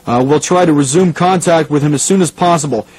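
A man's voice: on the high side, a little fast, a little mellow, natural voice, low-quality recording. A man acting as an apologetic local radio newscaster during a crisis, in a radio drama news bulletin. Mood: concerned, composed. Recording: radio broadcast with faint hum.

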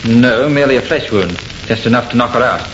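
Actor as sober doctor in echoey radio horror. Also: male voice, mid-range voice, expressive, a little fast, lightly acted. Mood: grave. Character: sober, observant male doctor friend. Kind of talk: old-time radio horror acting. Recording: noisy, dull, echoey vintage radio recording.

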